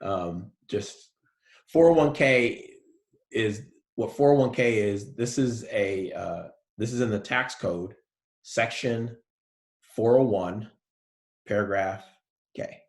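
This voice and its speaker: A male college professor giving a relaxed, soft-spoken lecture online, with many fillers. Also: on the low side, slow pace, roomy sound.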